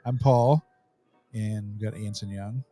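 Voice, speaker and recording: A man's voice: lowish voice, steady tone, measured pace, dark tone, faint music behind. A man hosting a podcast with casual, conversational banter. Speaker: podcast host.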